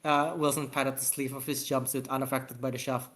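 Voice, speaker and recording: An amateur reading fan fiction aloud and chatting casually, halting and often repeating words. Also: mid-range voice, level tone, fairly bright tone. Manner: halting, stumbling over words and repeating them. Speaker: author reading own story aloud.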